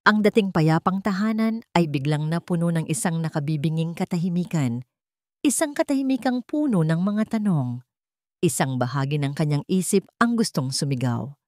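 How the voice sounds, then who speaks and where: Female Filipino-accented narrator, low voice, dry sound, for dramatic scripted storytelling delivered in measured phrases. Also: darkish tone, no room sound.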